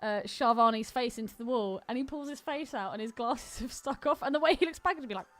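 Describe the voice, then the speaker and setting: A woman's voice: a little high, quick pace, fairly bright tone. A British woman co-hosting a film podcast, chatting casually with irreverent, sweary banter. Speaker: podcast co-host.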